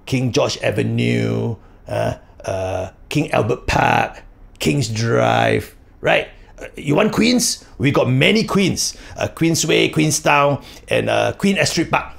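A man's voice: on the high side, creaky, exaggerated voice. A man playing a proud, chatty Singaporean uncle who is a parade fan, speaking with a Singaporean accent and full of rhetorical tags. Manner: chatty, rhetorical tags like right or not and huh. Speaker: comedic character monologue. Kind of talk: comic monologue in Singlish.

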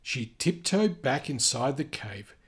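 A man reading a children's picture book aloud, gently and expressively, with chatty asides. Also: medium pitch, sing-song, slow pace, slightly rough.

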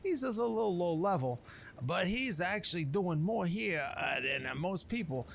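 Male game storyteller, colloquial wiseguy, fairly high-pitched with some fry, faint noise behind. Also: animated, moderate pace, fairly bright tone. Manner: streetwise, colloquial, folksy wiseguy talk. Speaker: gameplay narrator playing a storyteller character. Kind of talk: in-character rambling game commentary.

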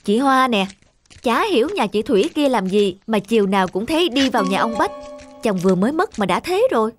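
A woman's voice: fairly high pitch, expressive, fairly quick, over music, natural voice. An actress playing a nosy, judgmental neighbourhood woman in a cartoon, gossiping in an insinuating way. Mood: gossipy.

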